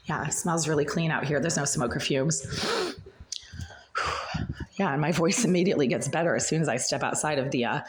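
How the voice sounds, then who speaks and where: A woman tenant with a raspy, breathless voice, recording an agitated complaint in her small apartment bathroom and hallway. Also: low-pitched, very animated, normal speed, long pauses, slight background noise. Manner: agitated, relentless, repetitive listing. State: short of breath. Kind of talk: angry first-person complaint vlog.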